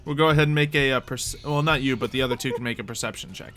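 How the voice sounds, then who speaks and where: A man's voice: medium pitch, very fast, bright, forceful tone. A jovial man who laughs readily, casually narrating a tabletop roleplay as game master.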